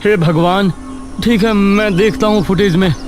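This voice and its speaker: A man's voice: steady tone, normal speed, close to an everyday voice. A man voicing a startled young neighbour in his early twenties who reacts with shock. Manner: shocked, high-strung. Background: background music.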